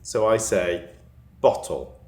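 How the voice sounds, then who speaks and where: A man with a northern Cheshire accent chatting casually to camera in a vlog, laughing often and sounding out his T's crisply. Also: low voice, expressive, slow pace, dark tone, reverberant. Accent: northern Cheshire English. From England. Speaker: vlogger.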